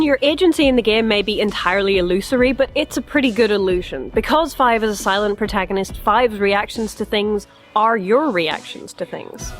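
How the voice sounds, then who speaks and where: Wry woman reviewer with background music. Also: mid-range voice. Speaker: host of a review show. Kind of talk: scripted video review to camera, casual and chatty.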